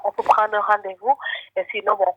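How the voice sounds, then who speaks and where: A woman working as a telemarketer, giving a polite, explanatory sales pitch on a phone call. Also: flat delivery, brisk, slight background noise. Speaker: telemarketer selling solar panels. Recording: phone call over faint music.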